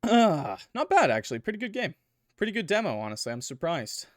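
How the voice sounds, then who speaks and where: A young man giving casual, rambling let's-play commentary as a gaming YouTuber, chatty and quick to laugh, his voice a little worn. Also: sits high, very animated, dry recording, clean studio sound. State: losing his voice.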